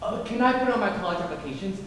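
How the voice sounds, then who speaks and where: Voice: mid-range voice, expressive, racing, a bit bright, slight background noise, natural voice. A young performer playing a scornful teenage student who rants and scoffs, heard on stage from far off in an echoey hall. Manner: scoffing, ranting.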